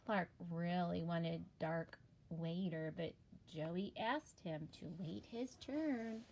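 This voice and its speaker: A woman teacher reading a children's storybook aloud in an expressive way, with deliberate emphasis. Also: lowish voice, a little hiss or hum.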